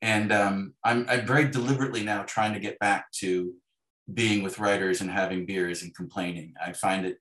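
A middle-aged man with a Canadian accent speaks in a lowish voice as a guest in a relaxed conversation. He gropes for words and corrects himself as he reflects. The room adds a clear echo around him. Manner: halting, searching for words, self-correcting. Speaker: interview guest, novelist. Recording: roomy, echoey voice pickup.

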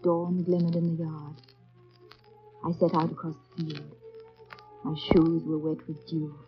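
An actress playing an earnest, resolute, sorrowful young English governess in formal, measured 1930s radio drama style. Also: female voice, low-pitched, flat delivery. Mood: wistful narrating. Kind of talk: 1930s radio drama acting and first-person narration. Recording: dull 1930s radio broadcast with music.

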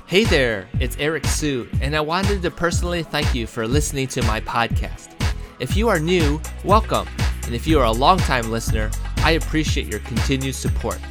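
A man hosting a podcast, reading upbeat promos and then asking relaxed interview questions. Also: fairly high pitch, normal speed, over music. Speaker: podcast host. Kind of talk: podcast intro and casual interview.

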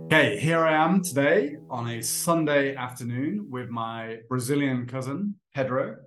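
A man in his thirties hosting a casual interview podcast for English learners, friendly and full of fillers, slowing down to explain words. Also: medium pitch, expressive, a bit bright, soft background music. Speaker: podcast host and English teacher.

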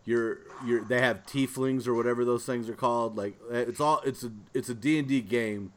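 A man's voice: medium pitch, steady tone, moderate pace. A man co-hosting a podcast in casual chat, talking in a rambling, loosely phrased way. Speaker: podcast co-host.

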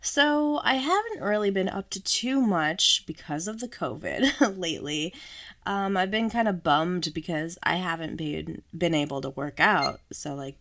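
A woman hosting her own podcast in a casual, chatty way, sick and slightly hoarse, often laughing at herself. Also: deep voice, a bit bright. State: sick, voice a little off. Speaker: podcast host. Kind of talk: casual solo podcast chat.

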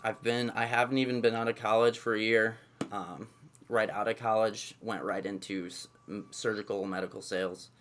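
A man in his mid-twenties talks through his background in a loose, rambling way, often leaning on filler words. His voice sits on the low side. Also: moderate pace, slight background noise. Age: 20s. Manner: relaxed, rambling, many fillers and "essentially". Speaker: guest, movement coach. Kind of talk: casual conversational interview.

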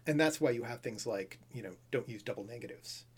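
A man explaining the history of grammar rules in a casual, thoughtful conversation, often pausing with fillers. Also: mid-range voice, husky. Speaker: language expert guest.

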